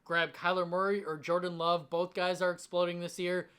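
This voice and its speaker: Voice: mid-range voice, flat delivery, moderate pace, fairly bright tone, clear, resonant tone. Emphatic fantasy football host, slightly strained. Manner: emphatic, enthusiastic about the picks. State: voice slightly strained from long talking. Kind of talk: direct-to-audience sports advice commentary.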